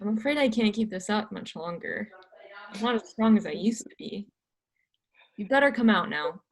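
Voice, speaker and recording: A woman's voice: mid-range voice, expressive, moderate pace, lightly acted. Woman playing a guarded, weary old astronomer. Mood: weary, apologetic. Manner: hesitant, terse, evasive. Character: reluctant, guarded, ageing astronomer mentor, weary and evasive. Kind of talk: readers theatre stage reading.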